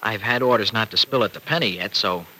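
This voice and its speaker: An actor playing a chatty, teasing young farmer in a 1940s-style radio soap opera, on a noisy old recording. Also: male voice, on the low side, quick pace, pushed and bright. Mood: teasing, friendly.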